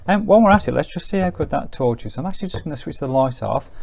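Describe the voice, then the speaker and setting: A man's voice: fairly high pitch, lively intonation, fast talker, gravelly, a little mellow. A man giving a casual hands-on gadget review, thinking aloud in an informal, hedging way. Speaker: product reviewer.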